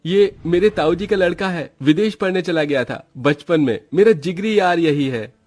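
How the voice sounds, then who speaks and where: A man's voice: a little high, normal speed, darkish tone, close to an everyday voice. A man voicing a demanding young husband in a cartoon family story. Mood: chummy. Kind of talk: animated moral story dialogue.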